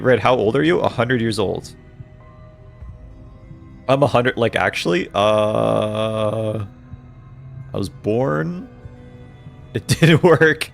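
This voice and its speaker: A man's voice: medium pitch, vocal fry. A man in his twenties streaming a game, joking with chat, reading messages aloud and laughing often. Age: 20s. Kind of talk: casual livestream chat while playing a game.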